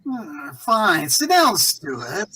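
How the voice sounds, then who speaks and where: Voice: mid-range voice, big pitch swings, extremely slow, bright, forceful tone, breathy edge, exaggerated voice. An actor playing a condescending, scheming imprisoned crime boss in a 1940s-style radio play, suave and gloating in manner. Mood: smug, menacing.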